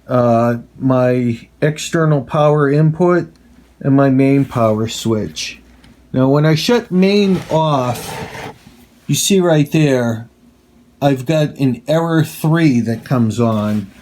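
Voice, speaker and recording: A man talks through a casual hands-on demonstration. His delivery is slow and measured, with plain, deliberate phrasing at an ordinary middle pitch. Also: darkish tone. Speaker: radio hobbyist reviewer. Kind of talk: casual product demonstration and review.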